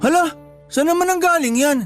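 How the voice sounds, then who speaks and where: An actor playing a doting, put-upon young tricycle driver in a Tagalog radio drama, pleading and exasperated. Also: male voice, extremely high pitch, a little fast, soft background music. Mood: pleading, dismayed.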